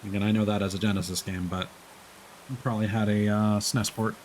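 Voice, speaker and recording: A man's voice: fairly low pitch, little pitch movement, a little fast, a little hiss or hum, rough recording. A man doing casual live gameplay commentary, chatty and thinking aloud. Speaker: game streamer.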